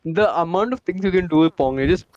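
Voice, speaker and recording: A man's voice: on the high side, fast talker, lo-fi sound. A man streaming a game live, chatting casually with joking, exclamatory banter.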